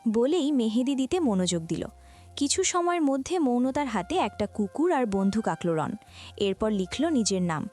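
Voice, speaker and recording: A woman's voice: on the high side, big pitch swings, normal speed, dark tone. A woman reading scripted story narration as the narrator. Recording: music behind the voice.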